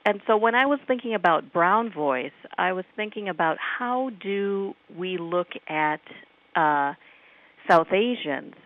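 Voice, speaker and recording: Midwestern woman academic on a phone line. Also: lowish voice. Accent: Midwestern American. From United States. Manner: thoughtful, academic, frequent ums. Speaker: professor guest on call-in radio. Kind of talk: radio interview answers.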